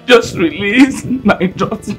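A woman's voice: medium pitch, expressive, slow pace, faint music behind. A middle-aged Nigerian woman playing a desperate, humble mother in a film drama, begging tearfully and repeating her pleas. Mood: tearful pleading. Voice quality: tearful, wailing delivery. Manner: pleading, repetitive. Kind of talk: emotional film drama acting.

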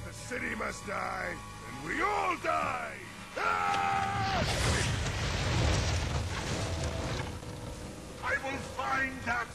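Game villain, monster leader: grandiose, wildly swooping gravelly voice over loud music. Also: male voice, very high voice, sing-song, full character voice. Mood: raging. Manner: theatrical, drawn-out, sinister. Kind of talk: video game cutscene acting.

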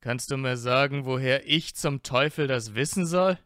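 An actor playing a proud, teasing young blond wizard in a fan fiction audio drama, with smug banter. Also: male voice, mid-range voice, normal speed, fairly bright tone, no room sound, studio quality, natural voice.

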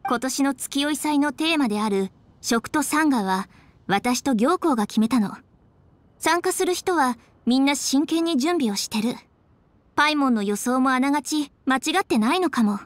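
High-voiced, composed young official. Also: female voice, animated, moderate pace, no room sound. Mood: composed, explanatory. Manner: articulate, businesslike, polite. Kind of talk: Japanese video game voice acting.

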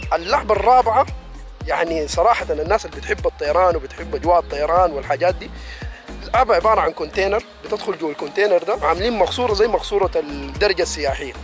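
A man vlogs about a city event. He chats casually and enthusiastically, speaking straight to his viewers. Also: high-pitched. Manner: rapid, enthusiastic, addresses viewers directly. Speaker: vlogger reviewing a city event.

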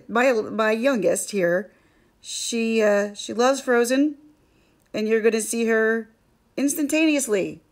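Mom vlogger with a gravelly scratchy voice, kinda hoarse, rambles and laughs a lot. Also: female voice, mid-range voice. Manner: chatty, rambling, laughs often. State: hoarse from allergies. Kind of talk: casual chat to camera.

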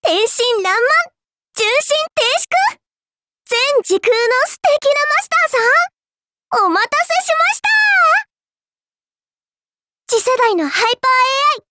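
A woman's voice: extremely high pitch, sing-song, unhurried, long pauses, heavy background noise, exaggerated voice. A woman voicing a small AI servant girl in an anime mobile game, sweet and polite with a prim, smug edge. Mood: showy, exuberant.

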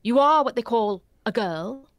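A high, airy little faun fusses and bows with old-world courtesy, nervous and eager to please. The voice sits far above a plain speaking voice, bouncing and lively. It is a storybook reading in a dry, close space. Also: male voice, a little slow, no echo, heavily acted. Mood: fussy polite. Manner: courtly, fretful, old-fashioned politeness. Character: fussy, polite male faun, shy and nervous. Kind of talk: audiobook character voice.

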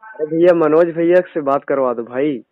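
A man's voice: a little high, normal speed, no room sound. An Indian man on a recorded phone call, talking in a casual, pleading and deferential way as a fan calling. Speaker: fan caller.

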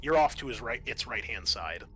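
A man's voice: medium pitch, animated, a little fast, fairly bright tone, slight background noise. A man narrating a tabletop roleplaying game as game master, describing scenes in an informal, improvised, hesitant way.

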